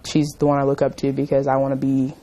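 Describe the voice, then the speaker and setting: A woman's voice: very deep voice, monotone, fast, warm tone. A woman, speaking plainly and earnestly as an interviewee. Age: late teens. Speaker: interviewee, high school graduate.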